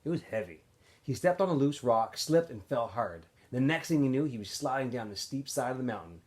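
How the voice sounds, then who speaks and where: Man reading aloud with very lively pitch. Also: a little fast. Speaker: teacher reading a novel aloud for students. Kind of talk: expressive audiobook-style read-aloud narration.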